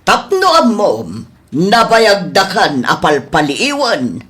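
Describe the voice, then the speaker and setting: A woman playing a stern female village captain in a comedy drama, admonishing and questioning deliberately. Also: fairly low pitch, huge pitch swings, a bit bright, heavily acted. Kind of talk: Ilocano comedy audio drama acting.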